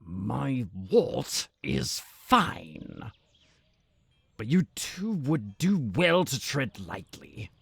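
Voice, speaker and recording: An actor playing a pompous, conniving town advisor in a fantasy audio drama, haughty and mock-polite in a drawn-out delivery. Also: male voice, mid-range voice, sing-song, vocal fry, a bit bright, slightly rough, no echo, exaggerated voice. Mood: menacing. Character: pompous, conniving male town advisor, smug and easily enraged. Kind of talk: fantasy audio roleplay acting.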